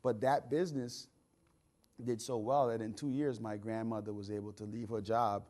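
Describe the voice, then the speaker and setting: A man's voice: fairly low pitch, level tone, normal speed, dark tone. A man in his thirties from the Bahamas, a guest entrepreneur and author telling his story in a casual, chatty way, often repeating words as he thinks. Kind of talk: casual interview talk.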